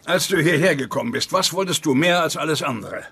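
An actor playing a cantankerous, bossy older man in a dubbed sitcom, gravelly and husky, with a pompous, commanding manner. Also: fairly high pitch, animated, a little fast, fairly bright tone, full character voice. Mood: stern, bossy.

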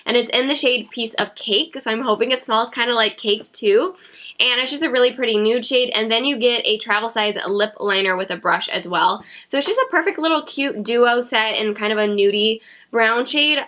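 A woman in her thirties talks to camera from her home beauty room, chatty and giggly, making fun of herself. Her voice is a bit high and bright, with a slight nasal, stuffy sound from a cold. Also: moderate pace. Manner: chatty, giggly, self-mocking. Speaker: beauty vlogger. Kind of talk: casual haul chat to camera.

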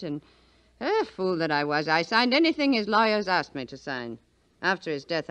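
An actress playing an imperious, catty old rich widow in a 1940s radio drama, haughty and dryly sardonic. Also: female voice, low-pitched, fairly bright tone, dry recording. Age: older.

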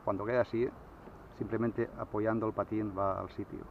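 Male kayak instructor, low voice, easygoing how-to delivery; muffled action-cam sound at sea. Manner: relaxed, explains slowly with fillers. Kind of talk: casual how-to explanation on the water. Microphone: action camera mounted on the kayak. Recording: dull lo-fi camera audio, faint wind.

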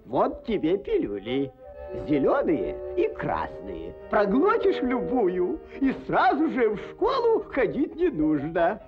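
A woman voicing a mysterious, playful doctor in an animated cartoon, theatrical and coaxing. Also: mid-range voice, takes it slowly. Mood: sly, coaxing. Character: mysterious, playful doctor handing out magic pills. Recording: muffled old film soundtrack, music behind.

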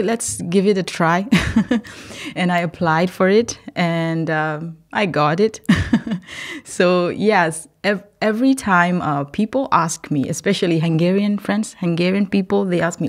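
A young woman from Myanmar, not a native English speaker, answering interview questions as a PhD student, thoughtful and laughing softly between phrases. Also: on the low side. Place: indoor podcast recording.

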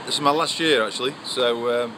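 Northern english bloke chatting, normal pitch, pretty noisy outside. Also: male voice, brisk. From Stoke-on-Trent, England. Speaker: student, trainee vicar. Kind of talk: casual chat. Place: outdoors by a brick building. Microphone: handheld phone at a short distance. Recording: clearly noisy outdoor phone video.

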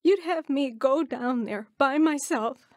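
A woman acting a tender, heartbroken young mother in an audio drama, halting and emotional. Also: sits high, no echo, clean studio sound. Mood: hurt, tender.

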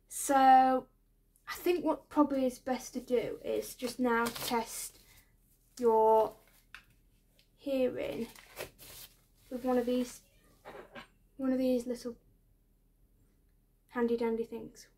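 A woman in her twenties plays a doctor in a soft-spoken ASMR roleplay. Her voice sits a bit high and she talks very slowly, with hesitant pauses and a reassuring way of explaining things. She is close to the mic in a small indoor room. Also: steady tone, slight background noise, low-quality recording. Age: 20s. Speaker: ASMR creator playing an ear doctor.

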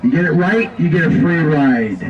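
A man's voice: on the high side, expressive, moderate pace, highs cut off. A man working as a tourist train conductor, giving playful, jokey announcements to the passengers. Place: outdoors on a small tourist train. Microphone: picked up from afar by passenger's camera. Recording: distant, with outdoor crowd noise.

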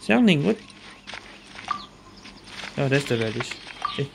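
Low-pitched woman gamer commenting casually. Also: sing-song, takes it slowly, gravelly, noisy background. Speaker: gamer narrating a playthrough. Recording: game music behind the voice. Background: video game music and sounds.

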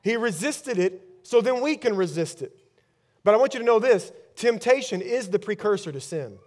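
A man in his thirties preaching a sermon on a large church stage with a headset mic, swinging between loud bursts and hushed lines. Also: high-pitched, animated, moderate pace. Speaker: pastor.

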